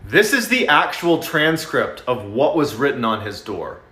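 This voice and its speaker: Animated man narrating suspensefully in a roomy space. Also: mid-range voice, a little slow. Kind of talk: dramatic scary-story narration for social media. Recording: roomy echo.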